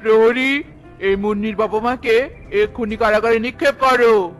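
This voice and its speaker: Man as a haughty high-pitched cartoon king. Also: fairly even intonation, moderate pace, fairly bright tone, faint music behind. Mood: contemptuous, commanding. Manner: imperious, scolding, commanding. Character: haughty, cruel king, contemptuous of the poor. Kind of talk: dramatic cartoon voice acting.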